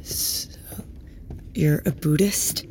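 Nervous apologetic woman, half whispering, low mellow voice, tentative, audio drama. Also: very slow, exaggerated voice. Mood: timid, wary. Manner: timid, tentative. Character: nervous, apologetic female newcomer. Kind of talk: audio drama acting, casual dialogue.

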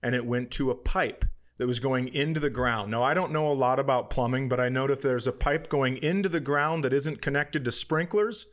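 A man's voice: mid-range voice, fairly quick, hardly any pauses. A man co-hosting a podcast, telling an everyday story casually, with swearing and quoted dialogue. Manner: profane, animated retelling with quoted dialogue. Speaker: podcast co-host.

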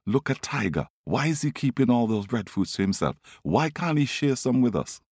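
A man's voice: medium pitch, sing-song, moderate pace, a little mellow, slightly rough, no echo, studio quality. A man voicing a crowd of hungry forest animals, plaintive and complaining, in a told folktale.

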